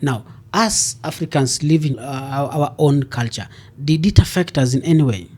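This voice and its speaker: A man's voice: a little high, moderate pace. A man with a Kenyan accent hosts a podcast interview and keeps murmuring "mm-hmm" and "yeah" in agreement. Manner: steady stream of affirming "mm-hmm" and "yeah". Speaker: podcast host. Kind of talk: interview conversation.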